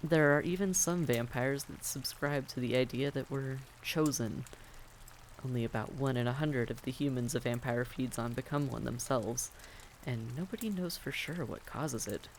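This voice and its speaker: A man's voice: mid-range voice, normal speed, a little hiss or hum, lightly acted, low-quality recording. A man playing a friendly, reassuring vampire innkeeper in a fantasy audio roleplay, speaking courteously and conversationally to the listener. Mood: gentle, comforting.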